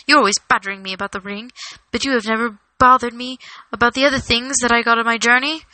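Lady reading as touchy old hobbit guy from a storybook, kinda high and bright, defensive, possessive. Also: moderate pace, no room sound, low-quality recording. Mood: suspicious, angry. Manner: hesitant, defensive, stammering under pressure. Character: stubborn, possessive elderly male hobbit, irritable and suspicious.

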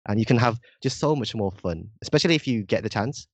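A man's voice: on the low side, expressive, quick pace, no room sound. A young man from Indonesia with a slightly British-sounding accent, explaining things earnestly as a podcast guest, often adding "like" and "right?". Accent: slightly British-sounding English. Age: young adult. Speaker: podcast guest, university student. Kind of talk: casual interview chat.

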